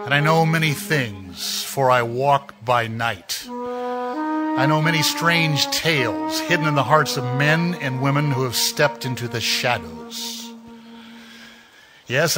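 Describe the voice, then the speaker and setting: An actor narrating as a mysterious man who walks by night, in slow, ominous, measured 1940s radio drama style. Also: a little high, big pitch swings. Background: music.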